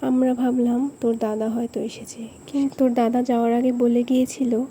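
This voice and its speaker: A woman's voice: on the high side, little pitch movement, fairly quick, very noisy, lightly acted. A woman playing a village grandmother who earnestly tells her grandchildren a spooky memory in a cartoon story. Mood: fearful, reminiscing.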